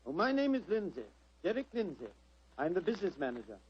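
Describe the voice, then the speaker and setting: Theatre manager, fairly high pitch, polite, worldly, slightly hesitant, noisy vintage radio recording. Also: male voice, big pitch swings, quick pace, muffled recording. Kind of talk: 1940s radio drama acting.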